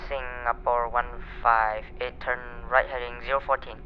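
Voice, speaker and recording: A man's voice: medium pitch, level tone, moderate pace, lightly acted. A man playing a procedural air traffic controller in an amateur aviation radio roleplay. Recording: muffled radio-style audio, faint noise.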